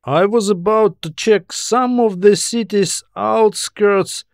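A man voicing a cautious, uneasy male astronaut in deliberate, clipped statements for an audiobook. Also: sits high, no echo, clean studio sound, pitched above the performer's own voice. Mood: hesitant.

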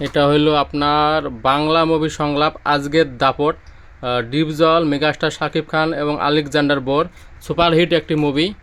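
A man's voice: a little high, level tone, moderate pace, a bit bright. A man with a Bangladeshi accent casually showing goods for sale, with repetitive, reassuring sales patter. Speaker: second-hand audio equipment seller. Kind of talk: casual product showcase. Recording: clear background noise with clicks.

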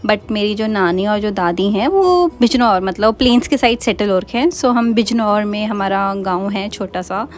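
A woman's voice: mid-range voice, normal speed, hardly any pauses. A young woman from Uttarakhand, India, chatting casually as she narrates her family vlog. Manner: chatty, mixes Hindi and English. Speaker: vlogger.

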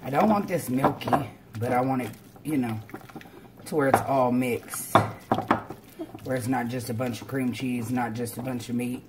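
A woman explains each step informally, as in a casual cooking vlog. She is recorded at home in a kitchen, with clear background noise of frying and clattering dishes. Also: rumbling low, moderate pace, long pauses. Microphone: camera on counter or at arm's length.

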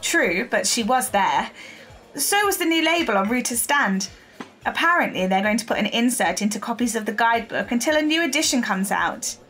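An actress playing a persistent, shrewd newspaper reporter in an audio drama, speaking confidently and articulately. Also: female voice, fairly high pitch, lively intonation, moderate pace, a bit bright, soft background music. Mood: breezy, pleased.

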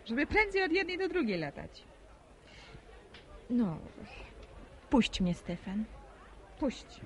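A woman's voice: a little high, very animated, slow, exaggerated voice. A proud young countrywoman fending off a suitor in a radio play. She is teasing and tart, with very mobile intonation over faint noise. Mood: tart, wounded pride. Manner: coy, tart, colloquial rural speech. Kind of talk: naturalistic Polish radio drama acting.

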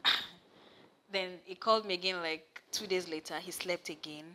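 Low-pitched, bright-voiced Nigerian woman. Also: moderate pace, slight background noise, rough recording. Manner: animated storyteller, rises loud and high when excited. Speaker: massage therapist, guest. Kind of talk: casual interview chat. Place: studio set with sofa, indoors.

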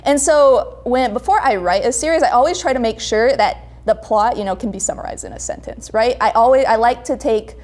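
A young woman chatting casually as an interview guest, animated and acting out conversations as she tells stories. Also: a little high, fairly quick, few pauses. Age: young adult. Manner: animated storytelling, acting out reported dialogue. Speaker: comic creator, interview guest. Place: indoor brick-walled studio room.

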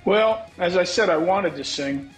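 A man's voice: high voice, a little slow, soft background music. Scratchy-voiced middle-aged man, frank. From United States. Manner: frank, reflective, swears casually. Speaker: guest songwriter. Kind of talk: candid interview talk. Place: home room, on a video call. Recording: echoey video call audio.

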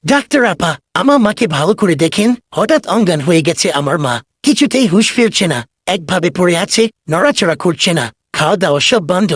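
A man as a husky, high-voiced anxious tortoise. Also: lively intonation, a little fast, a bit bright, no echo, studio quality, exaggerated voice. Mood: panicked. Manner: pleading, distressed. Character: anxious tortoise son pleading for his mother.

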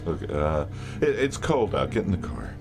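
A man playing an anxious, flustered radio station worker in a horror audio drama, halting and stammering. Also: low voice, sing-song, gravelly, over music, heavily acted. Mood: uneasy, hesitant. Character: uneasy, flustered male radio station colleague.

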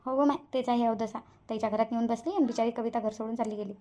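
A woman's voice: fairly high pitch, fairly even intonation, fast talker, warm tone, soft background music, close to an everyday voice. A woman voicing a bossy, nosy village woman who plays detective in a cartoon, urgent and commanding, with a Varhadi Marathi accent. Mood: insistent. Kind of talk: cartoon character dialogue.

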